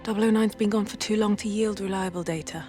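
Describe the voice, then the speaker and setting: Firm, mid-pitched female analyst played by a woman. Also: steady tone, fast, dark tone, faint music behind, natural voice. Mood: insistent. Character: level-headed, insistent female intelligence analyst. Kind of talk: video game cutscene acting.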